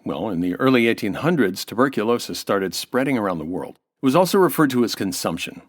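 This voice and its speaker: A man's voice: on the low side, lively intonation, fast talker, dry recording, clean studio sound. An American man as an interview guest, giving an affable, informative explanation in a semi-scripted style.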